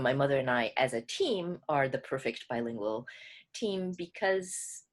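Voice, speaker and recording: A woman, a co-translator, explaining her work at an online book club in a thoughtful, halting way with many restarts. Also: very deep voice. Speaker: co-translator speaking as a guest.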